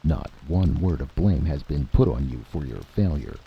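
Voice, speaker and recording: A man voices a calm, formal chairman in a story. The voice is deep and a little gravelly in its deliberate phrasing, and the recording sounds dull. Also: slight background noise, heavily acted. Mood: conciliatory. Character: sober committee chairman, calm, conciliatory official.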